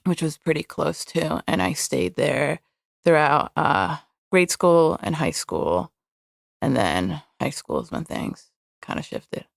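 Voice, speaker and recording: A woman's voice: low-pitched, animated, slow, creaky, dry recording, clean studio sound. A young woman whose first language is Hebrew, a podcast guest talking candidly and reflectively about her past. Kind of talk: candid personal interview.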